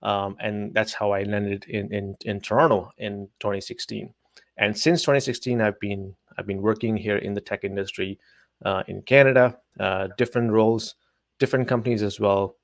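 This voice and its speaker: A man's voice: lowish voice, fairly even intonation, moderate pace, creaky, dry recording, clean studio sound. A man in his forties from Pakistan, a telecom executive, giving thoughtful interview answers with many hesitations.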